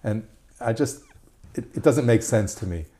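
A middle-aged man with an Israeli accent, a guest and tour guide, talking casually in a measured, slightly halting way. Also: medium pitch, lively intonation, dark tone. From United States. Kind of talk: casual interview conversation.

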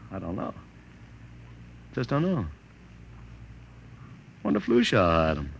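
Desperate fast-talking press agent. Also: male voice, mid-range voice, vocal fry, muffled recording, exaggerated voice. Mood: urgent. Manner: urgent, pleading, excitable. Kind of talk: 1950s radio drama acting. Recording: noisy old radio broadcast.

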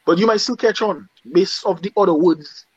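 A man's voice: high-pitched, moderate pace, slightly rough, dry recording, highs cut off. A man from Dominica with a Dominican accent, a singer talking casually in an interview and often checking "you understand?". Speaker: singer guest.

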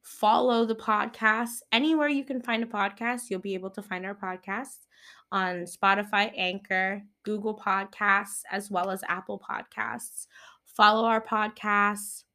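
A woman's voice: medium pitch, steady tone, measured pace, pushed and bright. A woman in her twenties hosting a solo podcast, chatting playfully and rambling, with laughs at her own jokes. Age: 20s. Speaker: podcast host.

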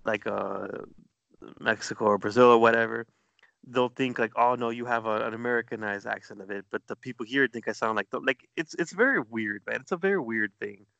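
Gravelly, bright-voiced man chatting casually. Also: mid-range voice, brisk, no echo, lo-fi sound. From southern United States. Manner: rambling, searching for words. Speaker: podcast co-host. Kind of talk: casual chat.

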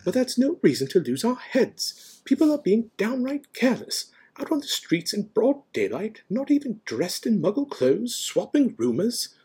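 A man voicing a stern, severe older witch professor in an audiobook, prim and impatient, with sharp, clipped, indignant delivery. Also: sits high, sing-song, moderate pace, creaky, breathy edge, voice pushed up, heavily acted. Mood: irritated, impatient. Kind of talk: audiobook character voice.

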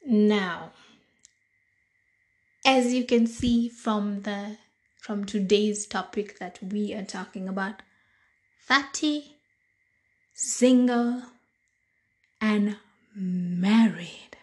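Slow-talking hoarse Kenyan woman. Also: medium pitch, steady tone. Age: 30s. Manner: slow, deliberate, chatty with frequent fillers. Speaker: podcast host. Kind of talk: casual solo monologue.

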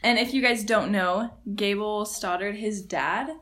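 A woman hosting a casual interview, upbeat and quick to laugh. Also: medium pitch, a bit bright. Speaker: interview host.

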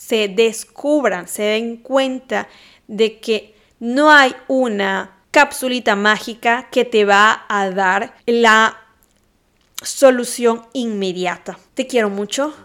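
A woman's voice: a little high, slow pace. A woman hosting a solo podcast, talking casually and animatedly, speaking straight to her listeners. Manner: animated, addresses listeners directly, occasional laughs. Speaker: podcast host.

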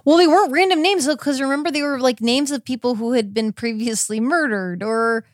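A woman's voice: high voice, brisk, no echo, clean studio sound. A woman co-hosting a true crime podcast in casual, conversational style. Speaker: podcast co-host.

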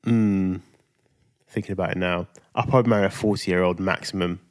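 A man's voice: sits low, fairly even intonation, brisk. A man, co-hosting a casual podcast chat, musing in a laid-back way with lots of restarts. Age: 30s. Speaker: podcast co-host.

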